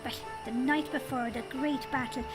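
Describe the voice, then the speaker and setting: A woman's voice: high-pitched, steady tone, moderate pace, close to an everyday voice. A woman playing a genial, chatty leprechaun fairy king with an Irish accent, telling tales in an audio drama. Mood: reminiscing. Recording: music underneath. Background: music.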